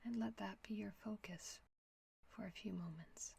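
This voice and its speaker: A woman leading a guided meditation in a soft, whispery, gentle voice with long pauses. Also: medium pitch, creaky, breathy edge.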